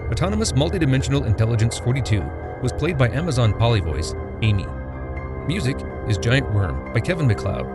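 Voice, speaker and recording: Guy doing podcast credits, really lively up and down delivery, mid pitch, loud music behind him. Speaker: podcast announcer reading closing credits. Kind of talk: scripted podcast outro announcement.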